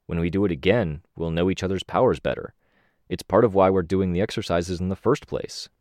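A man reading an audiobook, voicing a steady, reasonable young man. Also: sits low, fairly quick, no room sound, lightly acted.

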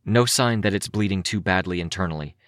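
A composed young heroine from a story, read by a man. She speaks in a raised pitch, firm and probing, practical and protective, in dry close sound. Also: a little fast, fairly bright tone, dry recording. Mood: concerned, clinical.

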